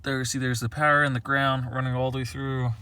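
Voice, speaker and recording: A man's voice: medium pitch, level tone, fairly quick, pushed and bright, clean tone. Rambling man in a casual vlog. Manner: rambling, repeats himself, conversational. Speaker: amateur video maker showing a car project. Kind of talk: casual unscripted how-to vlog.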